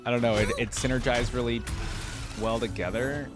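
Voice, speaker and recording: A man's voice: medium pitch, fairly even intonation, normal speed, music in the background. A man hosting a reaction video, chatting casually and rambling his thoughts to viewers on a close mic. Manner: rambling, musing, addresses viewers.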